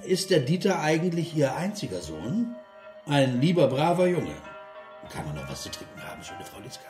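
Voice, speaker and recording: A man's voice: a little high, a little mellow. An actor playing a cynical, drinking party guest in a radio play, sardonic and mock-polite as he hammers out his sentences. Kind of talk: German radio play acting. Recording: roomy interior, music behind.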